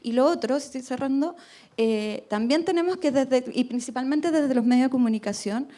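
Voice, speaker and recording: Female panelist, somewhat high voice, reflective, explanatory, many tag questions. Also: a little fast, dark tone. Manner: thoughtful, tag questions, restarts. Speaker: climate researcher on a panel. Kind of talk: radio panel discussion.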